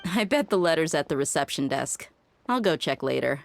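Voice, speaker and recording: Low-voiced, confident mercenary woman. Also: expressive, normal speed, vocal fry, a little hiss or hum. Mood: relaxed. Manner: confident, plainspoken, easygoing. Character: tough, free-spirited young adult female desert mercenary. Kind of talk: English anime game dub acting.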